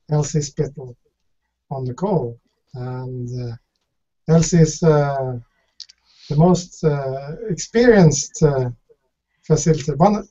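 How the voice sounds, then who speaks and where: A man opens a webinar and introduces the guest, speaking slowly and haltingly with many fillers. His voice is dark and mellow though fairly high for a man. The call audio is muffled and dull. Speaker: webinar host. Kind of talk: hesitant introduction.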